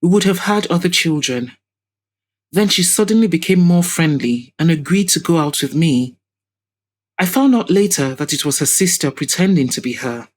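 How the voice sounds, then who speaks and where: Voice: medium pitch, lively intonation, moderate pace, lightly acted. Audio drama villain: sinister older rich man, haughty and evasive, performed by a female narrator. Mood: wistful.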